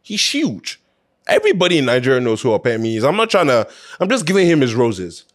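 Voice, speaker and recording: A man's voice: mid-range voice, wildly swooping pitch, fast talker. A man hosting an opinion show, talking casually and emphatically in a conversational way, repeating phrases to drive his points home.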